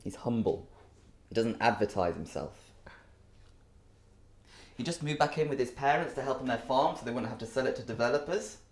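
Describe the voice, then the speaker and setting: A man's voice: mid-range voice, expressive, moderate pace, pauses often, slight background noise, natural voice. An actor playing an earnest, defensive man in a bickering romantic couple, speaking in an understated, naturalistic stage drama style. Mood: earnest, passionate.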